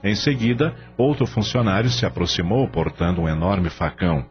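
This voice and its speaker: A man's voice: deep voice, animated, moderate pace, muffled recording. A Brazilian man narrating a radio novel in a dramatic scripted style. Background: music.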